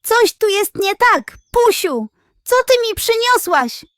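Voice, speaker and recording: A greedy little boss of a pug from a children's storybook, played by a woman. The voice is pitched high and full of self-importance, sly and theatrical. It sounds close and dry. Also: big pitch swings, takes it slowly, a bit bright, no echo, exaggerated voice. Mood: alarmed, groaning. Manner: pompous and nonchalant, turning plaintive.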